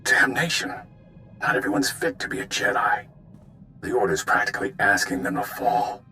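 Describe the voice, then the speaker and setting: A man voicing a gruff, brisk military intelligence handler in a video game, giving clipped orders. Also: medium pitch, expressive, pushed and bright, faint music behind, full character voice. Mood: brisk, weary. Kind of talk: video game cutscene voice acting.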